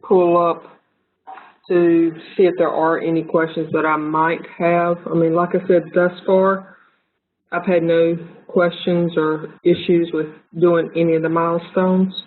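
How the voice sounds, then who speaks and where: A woman's voice: fairly low pitch, little pitch movement, unhurried. A woman speaks as a student in an online class, in a conversational way. Her audio is thin and narrow, as if coming over a phone line. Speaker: student attending a webinar.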